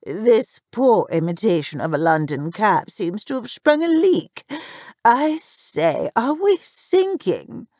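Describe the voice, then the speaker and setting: A woman voicing a haughty middle-aged socialite mother, imperious, dry-witted and deadpan, in a story. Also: mid-range voice, slow pace, no echo. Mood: dry mockery.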